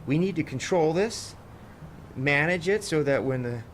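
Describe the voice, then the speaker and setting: Controlling American company boss. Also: male voice, a little high, expressive, a little slow, a little hiss or hum. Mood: controlling, exasperated. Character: controlling, evasive American company boss, middle-aged man. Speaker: actor. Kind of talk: audio drama acting.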